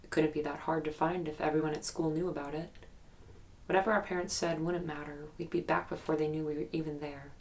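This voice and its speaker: Casting: guarded young woman in investigative audio drama, very low register, fry-heavy, hesitant naturalistic storyteller carrying a haunted memory. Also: fairly even intonation, darkish tone, slight background noise. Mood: uneasy, haunted. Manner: hesitant, reflective storytelling with pauses. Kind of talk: naturalistic documentary-style audio drama interview.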